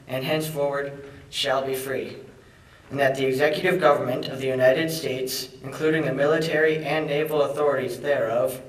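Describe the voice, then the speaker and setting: A young man of middling pitch reads a proclamation formally. He sounds away from the microphone in an echoing hall. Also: a bit bright. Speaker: student reader. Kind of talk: formal reading aloud of a proclamation. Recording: echoey hall, distant mic.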